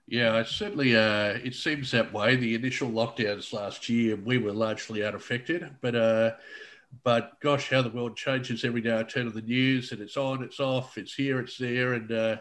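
A man hosting a podcast interview, asking questions in a relaxed way with plenty of fillers. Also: medium pitch, moderate pace. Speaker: podcast host. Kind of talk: conversational interview.

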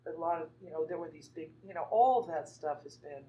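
A woman's voice: low voice, brisk, mellow, lo-fi sound. A woman artist from Northern California talking about her work in a loose, rambling way, full of fillers and restarts. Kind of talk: conversational artist talk.

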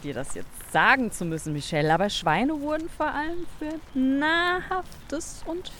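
A woman's voice: high voice, lively intonation, a little slow, fairly bright tone, slight background noise. A woman co-hosting a children's science podcast, playful and explanatory in a scripted audio play. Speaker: podcast co-host.

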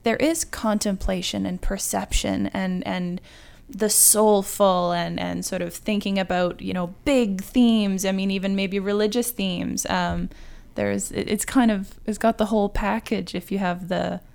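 A woman's voice: medium pitch, steady tone, unhurried, gravelly, few pauses, a little mellow. A woman writer talking casually about fiction as a guest on a discussion podcast.

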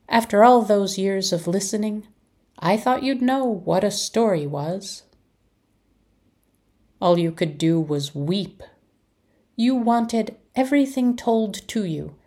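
Slow, husky woman reading poetry. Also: mid-range voice, warm tone. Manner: measured, deliberate phrasing with pauses. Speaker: community poetry reader.

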